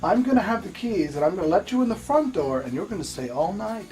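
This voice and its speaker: A man's voice: sits high, sing-song, fast talker, a little mellow, far from the mic, rough recording. A man voicing a polite, chatty puppet host making announcements at a paranormal convention in improvised comedy. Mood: cheerily official. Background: music.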